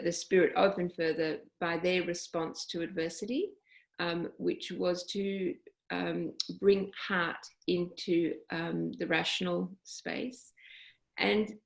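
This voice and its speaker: A woman telling her personal story on a panel call, reflective and halting, with many pauses and fillers. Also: on the low side, creaky, echoey room, low-quality recording. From Australia. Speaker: guest.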